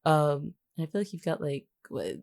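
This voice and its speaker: A woman co-hosting a casual podcast-style chat, with a teasing, understated deadpan way of talking. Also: sits low, moderate pace, vocal fry. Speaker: podcast co-host, voice actor.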